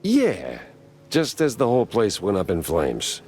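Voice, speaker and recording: A man's voice: fairly low pitch, huge pitch swings, a little slow, darkish tone, slight background noise. A man playing a world-weary, wisecracking cartoon mouse private detective with a dry, sardonic delivery in a hardboiled noir game.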